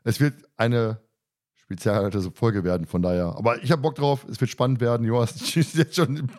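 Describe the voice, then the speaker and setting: A man's voice: medium pitch, normal speed, a little mellow. A man hosting a podcast, chatting informally and colloquially with his co-hosts. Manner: informal, colloquial, mildly crude. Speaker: podcast host and moderator. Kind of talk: casual podcast chat among co-hosts.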